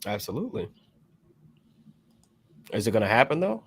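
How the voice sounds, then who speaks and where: A man's voice: fairly low pitch, big pitch swings, moderate pace, a bit bright, husky. An American man chatting casually as a panelist on a music podcast. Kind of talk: casual conversation, agreeing and reminiscing.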